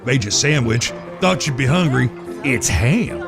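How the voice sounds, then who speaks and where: A man's voice: mid-range voice, expressive, moderate pace, gravelly, over music. A man playing a folksy, wry older cowboy brother with an American Western drawl, ribbing his kin in an audio drama. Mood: wry teasing. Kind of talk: audio drama acting, Old West dialogue.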